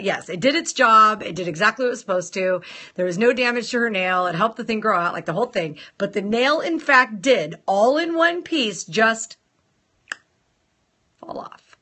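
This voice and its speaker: A woman hosting a casual live stream, chatting playfully with viewers and greeting them in a sing-song lilt, her voice a little rough from a sore throat. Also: medium pitch, pushed and bright. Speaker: nail salon owner hosting a live stream.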